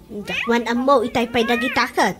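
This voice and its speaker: A woman's voice: fairly high pitch, sing-song, moderate pace, fairly bright tone, soft background music. A woman with an Ilocano accent playing a villager in a comedy radio drama. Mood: flustered.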